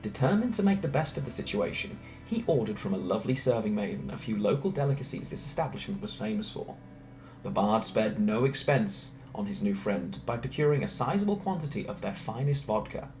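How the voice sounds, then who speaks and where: A man reading a scripted story aloud in a theatrical, expressive way. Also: fairly low pitch, brisk, poor audio. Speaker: storyteller reading fan narration. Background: background music.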